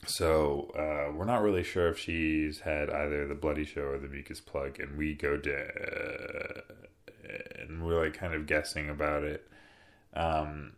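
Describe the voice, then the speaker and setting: A young man with a deep voice, casually telling a personal story as a podcast host, relaxed and rambling with soft fillers. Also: unhurried.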